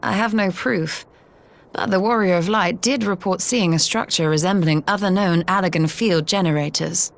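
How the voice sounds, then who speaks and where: Lady doing a careful scholar woman from a video game, lowish voice, formal and precise. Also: moderate pace, natural voice. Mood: thoughtful. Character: measured, scholarly woman advisor, cautious and precise. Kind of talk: video game cutscene acting.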